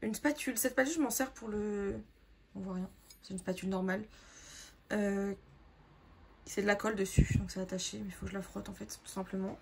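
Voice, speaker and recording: Young woman, hoarse and gravelly, rambling casual vlog talk, noisy background. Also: mid-range voice, steady tone, a little fast, pauses often. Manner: chatty, rambling, lots of fillers. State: hoarse, sore throat. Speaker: makeup artist vlogger. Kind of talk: casual sorting vlog, talking to viewers.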